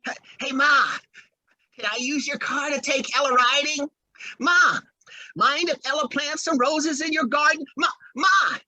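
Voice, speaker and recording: An actor playing an eager young husband in an old-time radio drama, bubbly and stammering. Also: male voice, extremely high pitch, sharp tone, dry recording, full character voice, poor audio. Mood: pleading.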